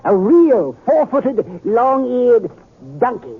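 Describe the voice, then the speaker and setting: An actor playing an excitable old miser in a western radio drama, blustery and exclamatory. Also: male voice, very high voice, slow, slightly rough, full character voice. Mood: joyful, giddy. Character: excitable old western miser, frightened then joyfully reformed. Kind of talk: 1950s radio acting. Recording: tinny, hissy 1950s radio recording.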